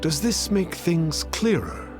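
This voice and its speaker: A man's voice: a little high, sing-song, takes it slowly. A man playing a pompous self-proclaimed immortal sage in a video game, speaking in lofty, archaic, theatrical phrases. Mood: lofty, unruffled. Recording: music behind the voice.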